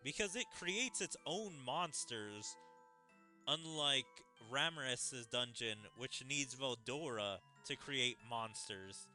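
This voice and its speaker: A man's voice: medium pitch, expressive, takes it slowly, sharp tone. A man streaming a game, casually thinking aloud about its story. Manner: musing, thinking aloud in questions. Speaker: gaming streamer. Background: mallet-percussion game music.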